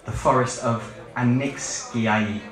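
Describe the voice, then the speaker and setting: A man's voice: mid-range voice, slow, a crowd in the background. A man from the UK with a British accent, a performance poet speaking in a wry, offhand way to a live audience. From United Kingdom. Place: book fair event room. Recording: echoey live event hall.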